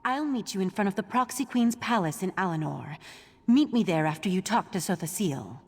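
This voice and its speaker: A woman playing a brisk, dutiful, dry-witted elven organiser in a fantasy game, with a raspy voice and businesslike manner. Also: medium pitch, animated, darkish tone, slight background noise, natural voice. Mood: wry, brisk. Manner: wry, businesslike. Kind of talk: scripted fantasy game dialogue. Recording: long hall-like reverb.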